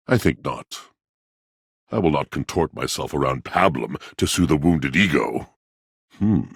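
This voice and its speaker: An actor playing a lovelorn, poetic washing machine in a video game, with florid, dramatic phrasing and a husky voice. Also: male voice, sits low, no room sound, clean studio sound, exaggerated voice. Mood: wounded, proud. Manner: florid, grandiloquent, dramatic phrasing with weighty pauses. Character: lovelorn, poetic, theatrical male washing machine come to life. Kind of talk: theatrical video game character acting.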